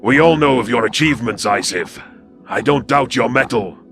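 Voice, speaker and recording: A man voicing a scrawny, wary alien predator soldier in an audio drama, gravelly, deferential yet cold and terse. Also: medium pitch, moderate pace, a bit bright, voice pushed up. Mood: earnest, deferential. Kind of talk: audio drama character acting. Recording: music behind the voice.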